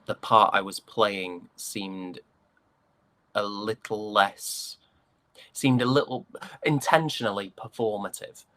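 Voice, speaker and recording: A man's voice: fairly low pitch, a bit bright, dry recording. A man with a British accent chatting casually as an interview guest, hesitant and jokingly self-deprecating. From United Kingdom.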